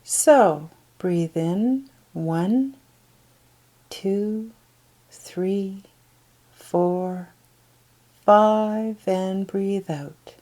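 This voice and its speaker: A woman leading a guided meditation in a gentle, soft, breathy voice that often trails toward a whisper, with unhurried pauses. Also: mid-range voice, rough recording. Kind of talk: guided meditation, soothing instruction.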